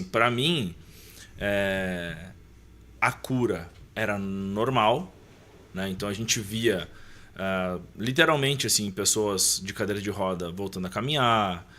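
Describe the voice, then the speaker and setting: A man's voice: fairly low pitch, sharp tone. A man, reflectively telling personal recollections as a podcast guest. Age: 30s. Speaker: interview guest. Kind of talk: conversational podcast storytelling.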